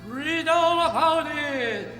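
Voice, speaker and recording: Old radio paperboy yelling, super high, long reverb, music behind. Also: male voice, lively intonation, slow, full character voice. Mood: urgent hawking. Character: shouting street newsboy hawking papers. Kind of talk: 1940s radio drama acting.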